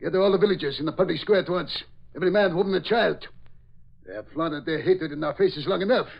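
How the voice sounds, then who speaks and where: A man's voice: sits high, quick pace, gravelly. A man playing a menacing, contemptuous German army captain, barking orders in old radio drama style. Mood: coldly ruthless. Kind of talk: 1940s radio acting. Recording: muffled old radio recording.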